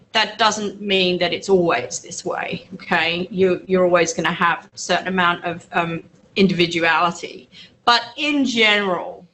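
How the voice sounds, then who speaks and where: A woman's voice: on the low side, fairly bright tone, poor audio. A woman lectures a university class in an easy, conversational way. She leans on fillers and keeps checking in with the room. A faint hiss sits under the recording. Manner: conversational, chatty, explains with many fillers.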